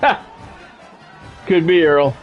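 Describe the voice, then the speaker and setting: A middle-aged man with a slightly high voice gives live commentary on a sports video game. He speaks in short, clipped play-by-play phrases like a radio announcer and slips into casual, salty chat. Music plays behind him. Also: slow, muffled recording. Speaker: gaming streamer and play-by-play commentator.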